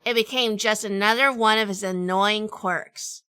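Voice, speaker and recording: A woman's voice: mid-range voice, measured pace, bright, forceful tone, clean tone, dry recording. A woman playing a wry, world-weary detective in a noir audio drama, with a dry, sardonic delivery. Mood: wry, fond. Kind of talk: hardboiled noir radio drama narration and dialogue.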